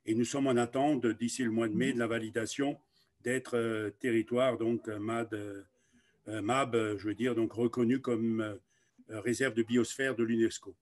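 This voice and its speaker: A man speaks at a middle pitch, as a local official presenting to an online meeting. His delivery is deliberate and halting, with frequent pauses while he finds his words. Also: fairly even intonation, darkish tone.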